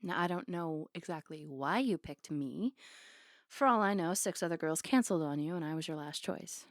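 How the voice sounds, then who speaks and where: A woman's voice: low voice, lively intonation, moderate pace, no room sound, studio quality. A woman playing a flirty, playful, affectionate barista on a date in an intimate, teasing ASMR roleplay. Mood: warm, sincere.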